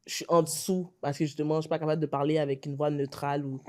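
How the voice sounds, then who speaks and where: A woman's voice: sits low, little pitch movement, fast talker, dark tone. A woman with a Haitian Creole accent sharing personal experience in a casual, conversational way as a podcast panelist.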